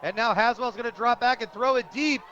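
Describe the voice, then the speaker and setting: A high-voiced American man gives live football play-by-play for radio. He is animated, colloquial and free with his opinions. The sound is muffled, with clear outdoor stadium noise behind him. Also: brisk. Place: stadium press booth, radio broadcast.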